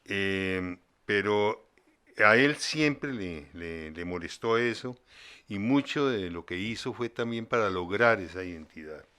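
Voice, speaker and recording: An older man giving reflective interview commentary in short, deliberate phrases. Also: lowish voice, animated, pushed and bright, clear, resonant tone. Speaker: interviewee, son of a playwright.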